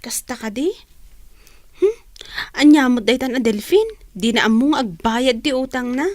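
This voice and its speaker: A woman's voice: high voice, expressive, unhurried, a little mellow, no echo, studio quality. A woman acting a caring, generous young student and loyal friend in a radio drama. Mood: concerned.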